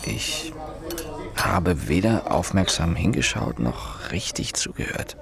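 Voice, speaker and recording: An actor playing a weary, brooding police inspector who probes and reflects in a gravelly voice, in a radio drama. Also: male voice, low voice, wildly swooping pitch, slow, fairly bright tone, exaggerated voice. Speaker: police inspector leading an investigation. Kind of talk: radio drama acting and interior monologue.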